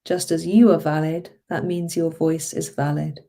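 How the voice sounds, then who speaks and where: A woman speaking as a hypnotherapist, leading a guided relaxation in a soothing, encouraging manner with drawn-out phrases. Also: sits low, little pitch movement, gravelly, warm tone. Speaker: hypnotherapist guiding a relaxation session.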